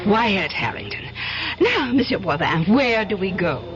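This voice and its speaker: A woman's voice: medium pitch, sing-song, unhurried, over music. A woman acting a gushing, imperious, wealthy middle-aged society aunt in an old-time radio drama. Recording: dull, noisy old radio broadcast.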